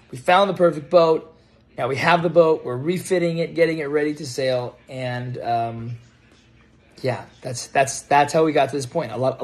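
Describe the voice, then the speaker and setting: American man in his thirties telling stories casually. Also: medium pitch. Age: 30s. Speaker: storyteller. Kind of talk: casual storytelling to camera. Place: home kitchen, indoors. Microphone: phone propped on table at arm's length.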